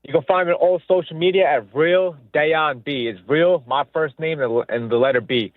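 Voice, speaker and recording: A man's voice: on the high side, normal speed, dry recording. A young man from Pakistan, a boxer being interviewed over the phone, talking casually and confidently and often repeating himself. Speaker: boxer guest. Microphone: phone line. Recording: phone-like narrow line, dry.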